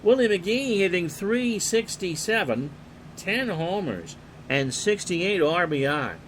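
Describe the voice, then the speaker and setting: A man calling a replayed baseball game play-by-play in a calm, unhurried way, reading player stats and chatting with viewers. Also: high-pitched, a little hiss or hum. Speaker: play-by-play announcer and streamer.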